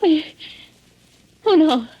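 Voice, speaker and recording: High-voiced distraught wife. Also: female voice, expressive. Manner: halting, emotional. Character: distraught, fretful married woman. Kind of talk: 1940s radio melodrama acting. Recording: muffled old radio recording.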